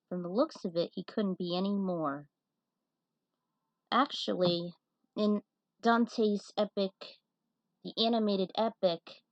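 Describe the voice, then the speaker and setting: A woman narrates a story as an amateur host, with an informal delivery and casual asides. Her pitch is middling for a woman and the recording is dry, with very little room sound. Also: no room sound. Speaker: host and reader of a fan fiction series.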